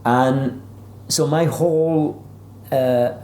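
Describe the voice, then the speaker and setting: A man's voice: mid-range voice, fairly even intonation, extremely slow, mellow. A man speaking as an interviewed novelist in an informal discussion, searching for words and often repeating them. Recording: roomy echo with faint background noise.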